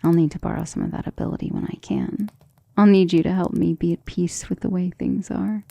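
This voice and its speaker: A woman voicing a centuries-old vampire lover in an ASMR romantic roleplay, soft, breathy and often whispering, intimate and gentle, with formal wording. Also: fairly low pitch, steady tone, fairly quick, dry recording. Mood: reassuring, protective. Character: centuries-old female vampire lover, tender, protective, self-assured.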